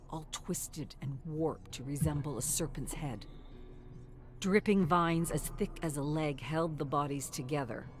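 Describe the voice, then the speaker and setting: Very low-voiced woman narrating dark fantasy.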